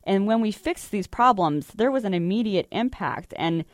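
A woman's voice: mid-range voice, expressive, normal speed, no echo, studio quality. An American woman reporter explaining the news in a conversational interview style. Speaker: energy reporter.

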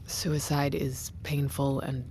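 An actress playing a guarded, grieving tech founder in a naturalistic audio drama, hushed, measured and hesitant. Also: female voice, rumbling low, little pitch movement, creaky, slight background noise, heavily acted. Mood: grieving, consoling. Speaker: tech company founder and CEO.

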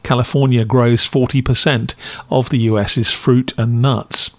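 A man's voice: mid-range voice, fairly even intonation, a little slow, no echo. A man narrating a scripted review as a podcast host, with a wry, critical, dry delivery.

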